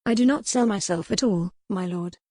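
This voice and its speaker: A woman's voice: medium pitch, moderate pace, dark tone, dry recording, studio quality, natural voice. A woman voicing a proper young debutante in a historical romance audiobook, formal, firm and indignant. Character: young, defiant, proper Regency-style debutante lady.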